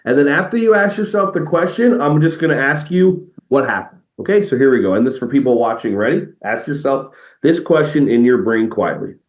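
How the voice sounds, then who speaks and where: Animated man in a roomy-sounding room. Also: medium pitch, brisk, hardly any pauses. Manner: energetic, jumps into playful quoted voices. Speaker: podcast guest, educator and motivational speaker. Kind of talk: animated conversational interview.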